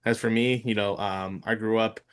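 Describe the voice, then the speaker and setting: A man's voice: lowish voice, steady tone, clear, resonant tone. A man in his mid-thirties hosting a sports podcast, talking casually with lots of filler words and restarts. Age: 30s. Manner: halting, heavy with fillers and restarts. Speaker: podcast host. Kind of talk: casual interview chat.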